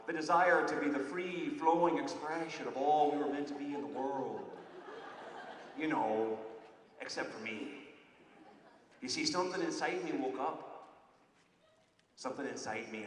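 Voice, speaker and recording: A man's voice: fairly high pitch, a bit bright, reverberant, long reverb tail, distant mic, a little hiss or hum. A man performing a stage comedy monologue as a boastful former pirate turned motivational speaker, with a put-on pirate accent, hammy growls and pirate slang. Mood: earnest. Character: boastful male pirate turned self-help guru. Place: large event hall with audience.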